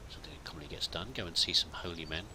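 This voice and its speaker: A man's voice: low-pitched, fairly even intonation, racing, background noise. A man hosting a roleplay let's play, chatting and musing aloud and reading in-game text as he plays.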